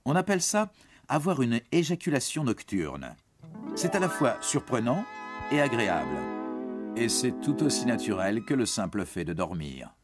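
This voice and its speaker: A man giving scripted educational narration for young teens in a reassuring, explanatory manner. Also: fairly low pitch. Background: background music.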